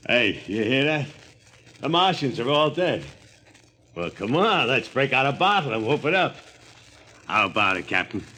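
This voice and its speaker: A man's voice: medium pitch, big pitch swings, normal speed, long pauses, bright, forceful tone, slight background noise. An actor playing a boorish, boozy, cocky spaceman in a 1950s radio drama, boisterous and swaggering. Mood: rowdy, jubilant. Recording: old radio recording, rowdy crowd behind.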